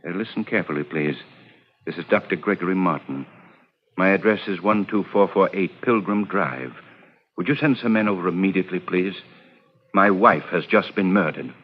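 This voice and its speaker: Husky, deep-voiced smug murderous doctor. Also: male voice, lively intonation, normal speed. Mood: coolly condescending. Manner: smug, philosophical, condescending, chuckling. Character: amused, cold-blooded murderous doctor. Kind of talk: 1940s radio acting. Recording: dull old radio recording.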